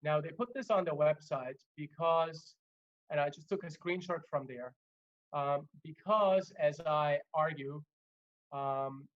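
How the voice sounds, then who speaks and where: Male lecturer, German native, slightly high voice, measured with fillers, muffled webinar sound. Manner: measured, many fillers and restarts. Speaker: legal trainer. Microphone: video call.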